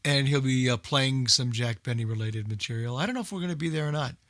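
A man's voice: medium pitch, fast talker, a bit bright, no room sound, clean studio sound. A man hosting a radio show, giving casual, rambling unscripted announcements in an informal way.

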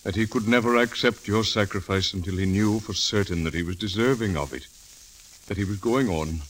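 A male actor as a kindly priest in a 1930s radio drama. His voice is very low, gentle and solemn, with faint hiss on the recording. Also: fairly quick, natural voice, rough recording. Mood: solemn, reluctant. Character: kindly, reluctant priest keeping a promise to a lady. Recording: hissy 1930s radio broadcast.

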